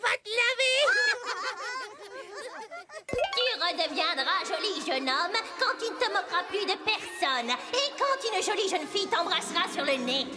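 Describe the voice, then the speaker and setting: A woman's voice: very high voice, sing-song, moderate pace, few pauses. A woman voicing a spiteful old witch in a cartoon, theatrical and cackling as she chants her curses. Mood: taunting. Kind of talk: animated cartoon acting. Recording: music playing under the voice.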